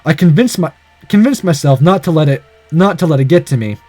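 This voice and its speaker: A man's voice: fairly high pitch, lively intonation, a little fast, dark tone, no echo, faint music behind. A man reading a visual novel aloud dramatically, breaking in with sarcastic, exclamatory commentary.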